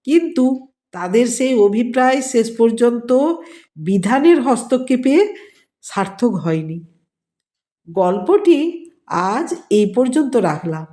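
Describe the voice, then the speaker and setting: A woman reads a dramatic story aloud. Her voice sits on the high side, yet it is soft-edged and mellow. She takes her time, shaping each literary phrase with measured expression. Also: expressive. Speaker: storyteller narrating own written story. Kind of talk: scripted dramatic story narration.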